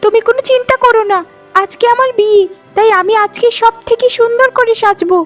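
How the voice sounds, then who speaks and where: A woman's voice: very high voice, fairly quick, faint music behind. A woman voicing a vain, spiteful young bride in a melodramatic cartoon, with a showy, taunting manner. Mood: delighted.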